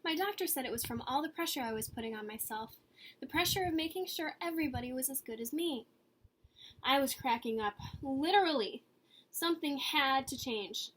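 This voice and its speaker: A woman voicing an earnest, eager good egg telling its own story from a picture book, with expressive pauses and emphasis. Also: sits high. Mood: stressed, fed up. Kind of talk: picture-book read-aloud.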